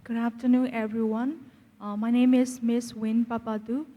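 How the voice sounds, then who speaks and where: A woman government official introducing herself formally and haltingly at a round table. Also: a little high, flat delivery. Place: large reverberant meeting room. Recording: muffled, long hall reverb.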